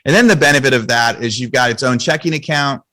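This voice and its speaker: A man's voice: medium pitch, fast, pushed and bright. A man hosting a podcast, talking in an informal, chatty, conversational way. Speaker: podcast host. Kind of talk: casual chat.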